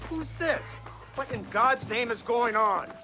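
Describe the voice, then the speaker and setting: A man's voice: very high voice, measured pace, over music. A man playing a haughty, irascible government boss in a video game, pompous and indignant, stretching words for emphasis. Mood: indignant, contemptuous. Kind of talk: video game cutscene acting.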